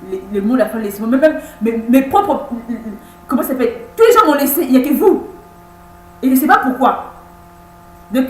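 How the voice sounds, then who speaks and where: A woman in her thirties recording a personal video message, halting and repeating words, insistent and pleading. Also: a little high. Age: 30s. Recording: echoey room with faint hum.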